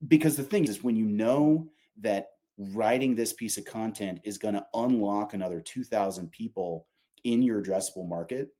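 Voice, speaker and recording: A man's voice: lowish voice, moderate pace, mellow. A man talking informally and emphatically, explaining his company's product in a conversational way with casual asides.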